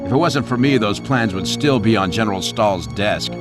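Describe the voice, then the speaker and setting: An actor playing a cocky American captain in a video game, sardonic and laid-back. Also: male voice, lowish voice, lively intonation, moderate pace, lightly acted. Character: wisecracking, cocky American captain, prisoner of war. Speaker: captured pilot. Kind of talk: video game cutscene acting. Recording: loud music behind the voice.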